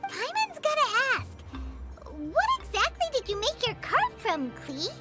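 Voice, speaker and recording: Game sprite: tiny chirpy female fairy, piercingly high, hugely swooping, exclamatory. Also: huge pitch swings, unhurried, exaggerated voice. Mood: amazed. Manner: speaks of herself in third person, exclaiming. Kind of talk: video game voice acting. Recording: loud game music under voice.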